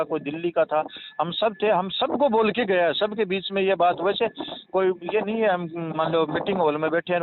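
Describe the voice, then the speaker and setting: A man explaining and reassuring in a rambling, repetitive, earnest way during a casual phone conversation. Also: fairly high pitch. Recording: tinny phone call with faint noise.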